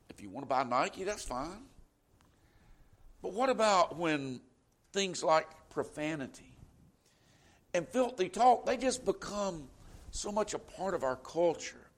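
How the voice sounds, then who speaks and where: Southern guy preaching, kinda high voice, chatty sermon with lots of "you know". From Florida, US. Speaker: pastor.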